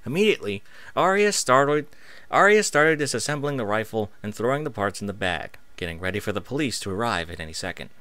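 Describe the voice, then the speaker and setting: Low, animated male story narrator. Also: a little fast, no echo. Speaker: narrator reading fan fiction aloud. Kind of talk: scripted story narration, dramatic audiobook reading.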